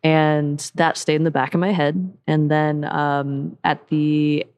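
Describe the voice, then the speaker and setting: A woman's voice: low voice, little pitch movement, takes it slowly, a little mellow, highs cut off. A woman in her thirties from Seattle with an American accent, chatting openly and laughing often in an interview, with lots of "like" and "um". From Seattle, USA. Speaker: parkour coach and program director. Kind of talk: casual interview chat.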